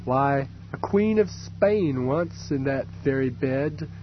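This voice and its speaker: A man's voice: medium pitch, lively intonation, slow, highs cut off, noisy background. A man reading an audiobook, voicing an ancient, dying, decadent rich man who rambles and mocks in a languid drawl. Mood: rambling. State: drunk and fading. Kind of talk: audiobook character voice.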